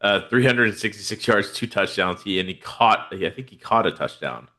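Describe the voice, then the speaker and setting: A man's voice: on the low side, normal speed, pushed and bright. A man with a head cold, hosting a casual football podcast in a laid-back, rambling way with lots of fillers. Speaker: podcast host. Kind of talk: casual fantasy football chat.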